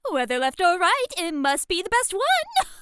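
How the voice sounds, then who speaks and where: A dainty young lady giving etiquette lessons in an ASMR roleplay. Her voice is extremely high and swings freely in pitch. She comes across as sweet, prim and playful, recorded dry and close. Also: wildly swooping pitch, moderate pace, pushed and bright, no echo, full character voice. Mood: bubbly, pleased. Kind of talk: ASMR roleplay acting addressed to the listener.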